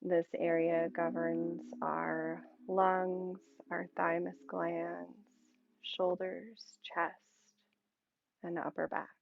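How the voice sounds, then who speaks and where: A woman yoga instructor leading a guided meditation calmly and soothingly, in short phrases with long pauses, on a muffled recording. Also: on the low side, vocal fry.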